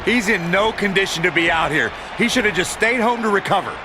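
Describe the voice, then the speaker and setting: A man playing an opinionated wrestling colour commentator in a video game, in a loud arena. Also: high-pitched, lively intonation, a little fast. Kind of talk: sports commentary. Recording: loud arena crowd and noise.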